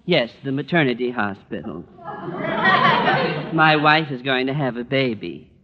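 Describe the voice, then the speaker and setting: A man's voice: medium pitch, expressive, a little slow, crowd noise, background noise, close to an everyday voice. Sheepish, hesitant man played by an actor, muffled old radio. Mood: mild, earnest. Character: bashful, nervous male school worker, expectant father. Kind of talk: 1940s-50s radio sitcom acting.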